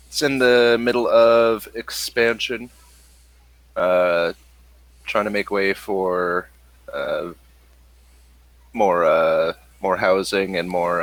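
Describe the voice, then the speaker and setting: Man, game master, unhurried pace, dry close sound, halting improvised narration. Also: low-pitched, a bit bright, no echo. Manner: halting, thinking aloud. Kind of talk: improvised narration of a tabletop role-playing game.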